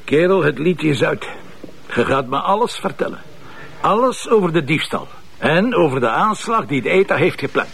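An actor playing a gruff, driven police commissioner in a TV crime drama, with a Flemish accent and a blunt, sardonic manner. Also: male voice, a little high, sing-song, moderate pace, a bit bright, exaggerated voice. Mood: sardonic, threatening. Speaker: lead detective in a TV crime series. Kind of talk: naturalistic TV crime drama acting.

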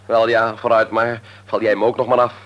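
Low-voiced, stubborn young man played by an actor. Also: level tone, brisk, natural voice. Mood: defiant. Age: young adult. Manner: curt, offhand, defiant. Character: stubborn, defiant young adult son. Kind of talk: radio drama acting. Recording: muffled, noisy old radio recording.

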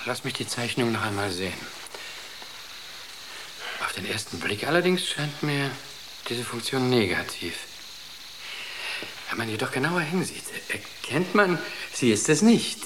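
An actor in a German radio drama playing an entranced artist who speaks with solemn, rapt conviction. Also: male voice, medium pitch, sing-song, a little slow, pauses often, noisy background. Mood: pondering. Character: entranced male artist, serenely devoted to an inner voice.

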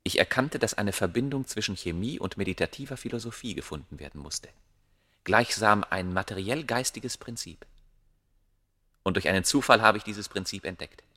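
An actor playing a proud, obsessive ancient scholar, speaking gravely and declaiming in a German radio play. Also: male voice, on the low side, lively intonation, bright, forceful tone, no room sound, clean studio sound. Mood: grave, intense. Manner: grave, declamatory, deliberate phrasing.

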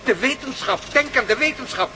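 An actor playing an anxious, eager scientist in a radio play. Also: male voice, extremely high pitch, expressive, fairly quick. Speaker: Stevens, a scientist. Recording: noisy old radio play recording.

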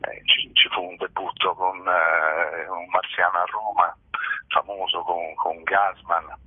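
A man being interviewed as a stage actor over a tinny phone line, speaking in hesitant, halting phrases. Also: sits low, level tone, slightly rough, no room sound. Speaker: stage actor interviewed about his show. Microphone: telephone. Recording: tinny, narrow-band telephone line.

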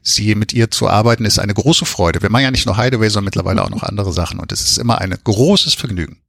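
A man's voice: fairly low pitch, fast talker, gravelly, fairly bright tone, no room sound, clean studio sound. A man answering casually as an author being interviewed, modest, candid and down-to-earth.

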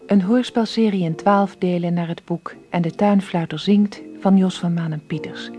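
A woman narrating a radio drama in a calm, measured way. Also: on the low side, faint music behind, natural voice. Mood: reflective.

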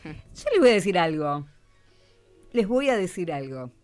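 A woman's voice: on the low side, big pitch swings, a little slow, darkish tone, soft background music. A woman with an Argentine accent interviewing on the radio in an informal, teacherly way, often prompting with questions. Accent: Argentine (Rioplatense). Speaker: radio host interviewing schoolchildren.

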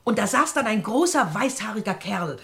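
Prim, chatty lady witness, animated swings of pitch, radio crime play. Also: moderate pace, vocal fry. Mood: eager. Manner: fussy, animated. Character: prim, talkative woman witness, eager to report. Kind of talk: 1960s German radio play acting.

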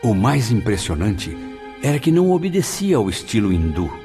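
A man's voice: on the low side, sing-song, normal speed, darkish tone. A man playing an earnest, grateful spirit student in a radio drama, speaking formally and reverently. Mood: awed. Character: Camilo, earnest, grateful male spirit student recounting his afterlife. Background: background music.

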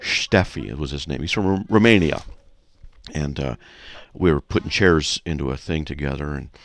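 Deep gravelly man hosting a radio show. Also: normal speed, dry recording. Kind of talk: casual conversational teaching and anecdotes.

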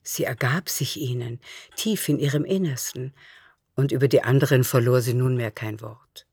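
A woman's voice: very deep voice, moderate pace, a little mellow. A woman reading scripted literary narration for a radio drama. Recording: music behind.

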